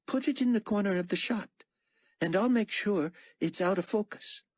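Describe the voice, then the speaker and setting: A man's voice: high voice, moderate pace, dry recording, voice pushed up. A man narrating an audiobook voices a young male tech operative in a raised, clipped tone. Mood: wry, brisk.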